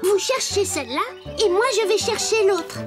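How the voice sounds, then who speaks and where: A woman's voice: extremely high pitch, moderate pace, over music. A woman voicing an eager, polite little wooden puppet boy in a children's cartoon. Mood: earnest. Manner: bright-eyed, polite, childlike. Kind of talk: animated children's cartoon dubbing.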